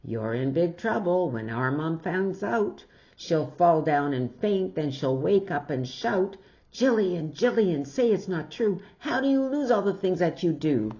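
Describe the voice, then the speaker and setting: A dramatic, bossy child in a storybook, played by a woman reading aloud. The delivery is emphatic, with animated pitch, on a slightly dull recording. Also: unhurried. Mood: alarmed, scolding. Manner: emphatic, mimics a shouting mother. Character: scolding friend, young child, dramatic and bossy. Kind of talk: storybook read-aloud character voice.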